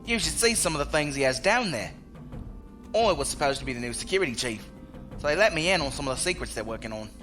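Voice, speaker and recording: A man's voice: fairly high pitch, animated, fast talker, sharp tone, soft background music. A man acting a blunt, loyal spacer in a science fiction audio drama, talking in colloquial, folksy slang. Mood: briefing eagerly. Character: rough-spoken ex-security chief, blunt, loyal male spacer.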